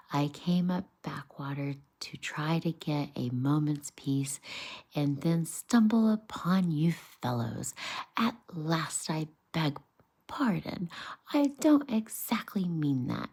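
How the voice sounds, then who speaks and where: A woman's voice: sits low, animated, slow, a little mellow, full character voice. A woman voicing a good-humored male otter in a children's storybook, breezy, gossipy and a little blustery. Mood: jovial grumbling.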